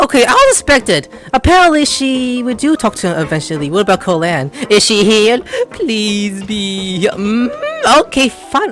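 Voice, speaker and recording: A woman's voice: medium pitch, fairly bright tone. A woman hosting a gameplay video, rambling playfully and casually as she talks back to the characters. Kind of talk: casual reactive game commentary.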